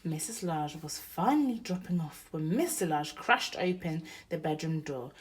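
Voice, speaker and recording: A woman's voice: low-pitched, animated, normal speed, slight background noise. A woman storyteller reading a picture book aloud expressively for young children.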